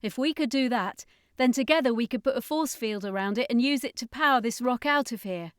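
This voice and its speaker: A woman's voice: a little high, fairly quick, clear, resonant tone, no room sound, pitched above the performer's own voice, close to an everyday voice. Woman as female magician, pessimistic, resolute, bleak delivery, dry sound, fantasy audio story. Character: grim, pessimistic female magician in a space circus.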